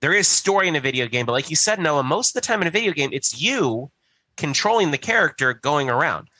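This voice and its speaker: A man's voice: on the high side, expressive, quick pace, pushed and bright, no echo, rough recording. A man co-hosting a podcast, explaining his view conversationally and often checking in with the listener. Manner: explanatory, checks in with "right" and "you know". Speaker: podcast co-host. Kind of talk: casual explanatory discussion.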